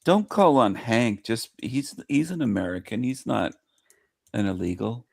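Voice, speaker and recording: A man's voice: mid-range voice, lively intonation, measured pace, vocal fry, darkish tone, dry recording, studio quality. A man chatting casually in an online group call, joking and boasting in easy banter. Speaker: regular in an online group chat. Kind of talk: casual chat.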